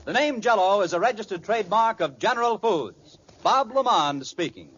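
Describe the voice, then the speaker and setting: A man's voice: high-pitched, expressive, moderate pace, fairly bright tone, husky, faint music behind. A man announcing scripted credits in the polished style of 1940s radio. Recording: dull, noisy old radio recording.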